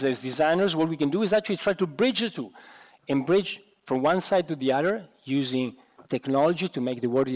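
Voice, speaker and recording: A middle-aged Italian-accented man giving an animated lecture while slightly hoarse. His voice sits somewhat high for a man. The sound rings with the long reverb of a big lecture hall. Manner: animated, many restarts and fillers. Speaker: guest lecturer. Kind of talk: university architecture lecture. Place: large university lecture hall. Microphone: headset mic.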